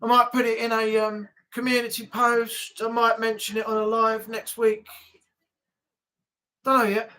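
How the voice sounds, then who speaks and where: A woman's voice: on the high side, completely flat delivery, moderate pace, sharp tone. A woman hosting a casual weekly livestream chat, talking to viewers in a chatty, rambling way. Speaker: livestream host.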